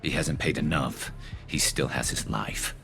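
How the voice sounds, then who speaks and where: A man playing a battle-hardened, dry-witted alien soldier in a video game, with a gravelly, metallic voice and measured delivery. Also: rumbling low, a bit bright, a little hiss or hum, full character voice. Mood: bitter, pained. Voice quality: gravelly, resonant metallic rasp.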